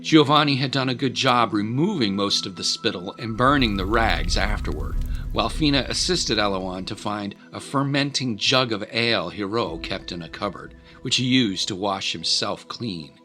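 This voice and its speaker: A man's voice: lowish voice, normal speed, pushed and bright, faint music behind. A man narrating an audio drama in a dramatic, scripted storytelling style.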